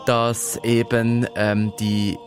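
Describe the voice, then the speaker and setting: A man with a Swiss German accent presenting a radio magazine from a studio, chatty and quick to laugh between items. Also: fairly low pitch, little pitch movement, music in the background. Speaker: radio host.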